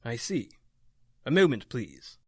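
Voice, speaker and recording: Guy doing an old occult professor in a radio horror play, hesitant musing mutter, pitch all over the place. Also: sing-song, takes it slowly, background noise. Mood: musing, curious. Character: elderly scholarly male doctor of the occult.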